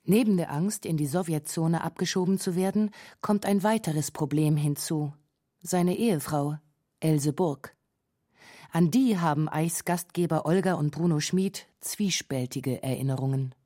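Woman narrator for radio documentary; deep for a woman, soft-toned, deliberate. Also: no room sound, clean studio sound.

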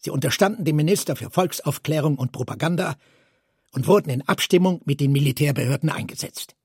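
A man's voice: fairly high pitch, fairly quick, darkish tone, breathy edge, no room sound, studio quality. A man reading scripted literary prose as a narrator.

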